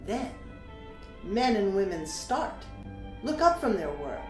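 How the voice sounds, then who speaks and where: An actress performs a passionate young woman poet in an audiobook drama. Her delivery is slow and theatrical, rising and falling dramatically, with deliberate phrasing. The room rings around her and music plays behind. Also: mid-range voice, big pitch swings, a little mellow. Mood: impassioned. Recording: roomy sound with music behind.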